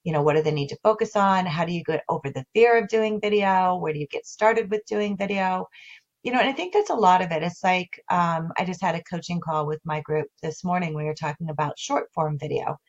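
A woman who coaches on video marketing, talking in a casual interview with animated delivery and lots of restarts. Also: lowish voice, fairly quick. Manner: animated, many restarts and fillers. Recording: dull lo-fi audio.

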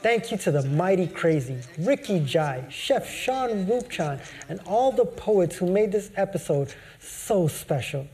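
A man's voice: high-pitched, big pitch swings, measured pace, few pauses, dark tone. A man hosting a show, reading a scripted, upbeat-worded outro. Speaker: host. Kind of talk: scripted show sign-off narration.

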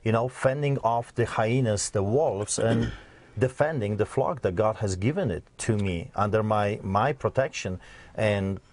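Man, lowish voice, earnest, emphatic, dry sound. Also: measured pace, a little mellow, no echo. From Poland. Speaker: pastor, interview guest. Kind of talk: interview.

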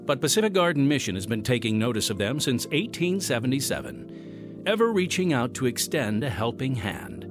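A man narrating a scripted radio drama as its announcer, solemn and theatrical, stretching out key phrases. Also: medium pitch.

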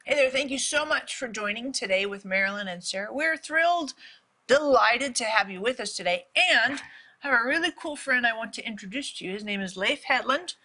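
A woman's voice: on the high side, lively intonation, fairly quick, pushed and bright. A woman co-hosting a faith talk show, upbeat as she welcomes the guest and invites viewers to call in.

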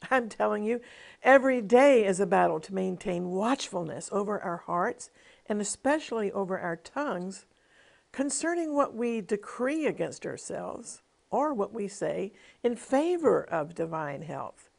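A woman's voice: mid-range voice, animated, unhurried, vocal fry. A middle-aged woman television minister giving a devotional teaching with a personal testimony, in an earnest, emphatic preaching cadence.

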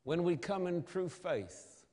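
A man preaching an evangelistic sermon in a solemn, emphatic manner, with dramatic pauses and rhetorical questions. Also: sits high, animated, dark tone. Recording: long hall reverb.

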